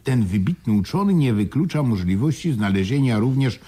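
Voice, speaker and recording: An animated, practical fellow in a radio drama. The husky voice rises and falls widely as he reasons things out conversationally. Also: mid-range voice, brisk. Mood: informative. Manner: conversational, animated, hesitant repetitions. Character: excitable, pragmatic man explaining news to his partner. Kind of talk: Polish radio play acting.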